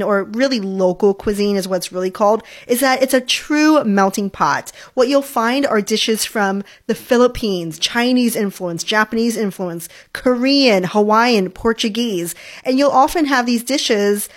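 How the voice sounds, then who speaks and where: A woman's voice: a little high, a little slow, hardly any pauses. A young adult woman from New York, hosting a food podcast in a friendly, chatty way and talking with enthusiasm about food. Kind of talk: casual solo podcast talk.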